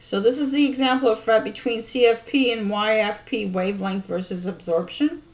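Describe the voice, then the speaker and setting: Lady reading science stuff out loud, trips on big terms and fixes them, kinda muffled cheap audio. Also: fairly high pitch, fairly even intonation. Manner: halting over technical terms, self-correcting. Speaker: video narrator. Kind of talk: reading scientific text aloud with informal asides. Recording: dull lo-fi sound.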